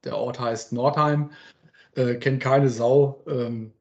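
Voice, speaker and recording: A man's voice: medium pitch, fairly even intonation, slow, creaky, darkish tone. A man in his fifties from northern Germany, a cheerful guest chatting casually on a podcast. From southern Lower Saxony, Germany. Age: 50s. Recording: dull lo-fi sound.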